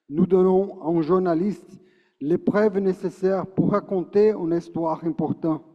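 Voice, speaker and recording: A man from Brazil, speaking with a Brazilian Portuguese accent, gives a formal prepared speech at a conference, with a declamatory delivery and frequent self-corrections. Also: sits high. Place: large conference hall. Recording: muffled conference hall reverb.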